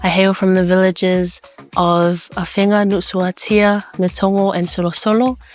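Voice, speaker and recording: A young adult woman, an architecture student, giving a steady, formal introduction of herself. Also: mid-range voice, measured pace, soft background music.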